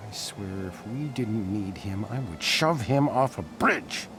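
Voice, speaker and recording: An actor playing a wily, sardonic little gnome-like creature in a fantasy video game, dry and mocking. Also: male voice, on the low side, animated, unhurried. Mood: exasperated. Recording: faint music underneath.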